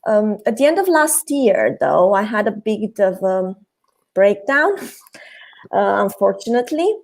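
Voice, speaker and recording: A woman's voice: medium pitch, very animated, measured pace. A woman in her thirties from Romania, a guest on a video call interview, talking openly with light laughs and many fillers. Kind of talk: casual interview talk.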